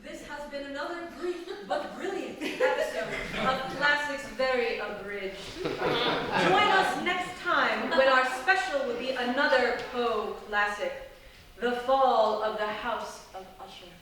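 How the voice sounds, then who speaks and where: A woman's voice: sits high, expressive, takes it slowly. A woman hosting a literary parody segment on stage, narrating in a theatrical, mock-scholarly way. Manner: theatrical, mock-serious literary critic delivery. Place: small theatre stage before an audience. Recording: very echoey live theatre, distant, faint noise.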